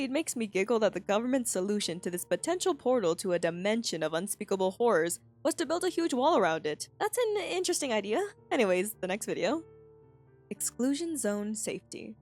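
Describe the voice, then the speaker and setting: A woman's voice: fairly high pitch, darkish tone. A woman hosting and narrating a scripted video essay, slipping in casual, playful asides. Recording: voice-over with music underneath. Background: background music.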